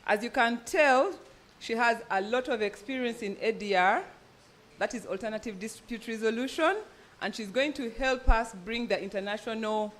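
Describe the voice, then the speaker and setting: A woman's voice: on the high side, fairly bright tone. A woman with a Ugandan accent, formally introducing panelists as a conference moderator. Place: event venue, speaking live to an audience.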